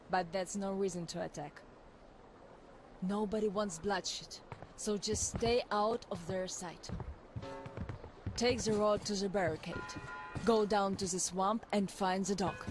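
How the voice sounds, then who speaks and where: A tough young woman from a forest settlement in a game cutscene, voiced by an actress. She talks briskly and practically, at a middle pitch, with game music playing under her. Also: lightly acted. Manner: brisk, practical, giving directions. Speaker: young woman who helps the hero. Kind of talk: video game cutscene voice acting.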